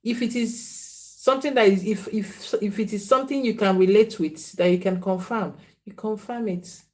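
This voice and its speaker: A woman's voice: mid-range voice, normal speed, darkish tone. A woman giving spiritual readings on a live stream, repeating names and phrases and earnestly scolding viewers. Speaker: spiritual reader.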